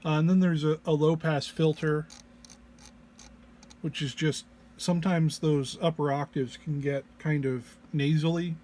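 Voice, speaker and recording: Low-energy man, higher-pitched, hesitant. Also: fairly even intonation, a little slow, mellow. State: under the weather. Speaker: presenter demonstrating an audio effects patch. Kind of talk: informal technical walk-through. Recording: clear background noise, single mono microphone.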